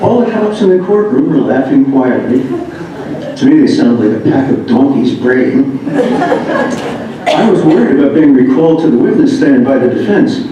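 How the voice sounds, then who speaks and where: A man's voice: mid-range voice, very animated, moderate pace, crowd noise. An American man reading humorous first-person anecdotes aloud in an amused manner. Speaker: reader of another writer's memoir. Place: echoey indoor room. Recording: echoey room, distant mic, dull, faint noise.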